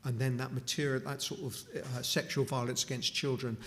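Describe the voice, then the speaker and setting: A man's voice: medium pitch, flat delivery, fairly quick, fairly bright tone. A middle-aged man from Newcastle, giving an informal charity talk to a church congregation with many restarts and asides. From Newcastle upon Tyne, England. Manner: conversational, with frequent restarts and asides. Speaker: charity speaker at a chapel.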